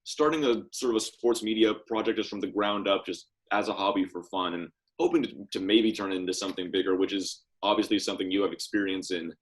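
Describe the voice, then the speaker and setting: A man's voice: fairly low pitch, fast talker, hardly any pauses, rough recording. A young man co-hosting a podcast, introducing and questioning guests in a casual conversational style. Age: young adult. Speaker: podcast co-host, interviewer.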